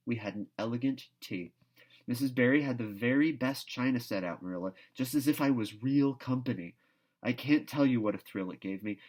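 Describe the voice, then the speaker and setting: A man's voice: medium pitch, close to an everyday voice. A man voicing an exuberant, dreamy young girl who chatters effusively and grandly, in a children's novel read aloud.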